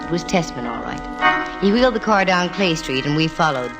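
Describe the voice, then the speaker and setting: A woman's voice: sits low, lively intonation, normal speed, rough recording. An actress playing a breezy, wry female private eye narrating her case in slangy 1940s radio style. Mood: wry, brisk. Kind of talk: 1940s radio detective acting. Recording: old radio recording with music.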